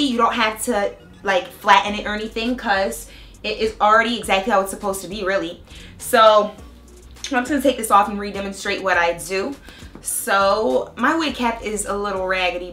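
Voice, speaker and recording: A young woman with a bright, forward tone talks her viewers through a wig install, chatty and excited as she explains each step. A cold has left her voice a little raspy. Soft music plays quietly underneath. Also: medium pitch. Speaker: beauty vlogger. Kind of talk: casual hair tutorial and review.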